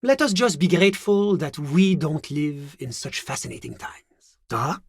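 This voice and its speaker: A man playing a smug, urbane government official in a video game, with a French accent and a pompous, measured, courteous manner. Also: on the high side, sing-song, breathy edge, no room sound, heavily acted. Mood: mild, placating. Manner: genteel, pompous, measured, with little questioning hums. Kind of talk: theatrical game character dialogue.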